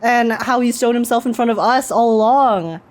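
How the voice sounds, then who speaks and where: A woman's voice: a little high, normal speed. A woman streamer giving informal, giggly, casual reaction commentary on a video game. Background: game music.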